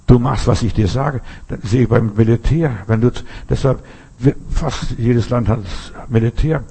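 A man's voice: mid-range voice, normal speed, gravelly, dark tone, slightly rough. A man preaching a sermon, emphatic and full of rhetorical questions, often restarting his sentences.

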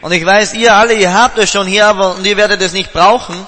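A male interpreter turns each line of the sermon around right after the preacher, in a high and bright voice. The long echo of a large hall hangs behind him. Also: fairly even intonation, quick pace.

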